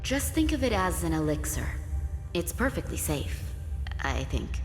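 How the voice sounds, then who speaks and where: Middle-aged mother, deep female voice, earnest, rueful, game drama, long echo, faint music. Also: sing-song, measured pace. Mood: reassuring. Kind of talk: dramatic video game cutscene acting. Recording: long hall reverb.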